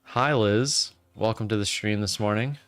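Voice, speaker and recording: A man in his late thirties with a fairly low, clean and resonant voice hosts a live stream. He rambles in a relaxed way and reads viewer comments aloud. His talk is full of "uh" fillers and restarted sentences. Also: moderate pace, clean tone, no echo. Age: 30s.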